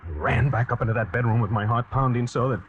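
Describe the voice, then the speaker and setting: An American actor playing a lovesick, nervous, reluctant killer in a 1940s-style radio suspense drama, narrating in the first person and halting under pressure. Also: male voice, mid-range voice, close to an everyday voice. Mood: tense narration. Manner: halting, hesitant, stammering when pressed. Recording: muffled, noisy old radio recording.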